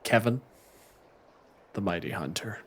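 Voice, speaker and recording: A man's voice: lowish voice, measured pace, fairly bright tone, husky. A man speaking as game master, running a tabletop roleplaying game in conversational narration.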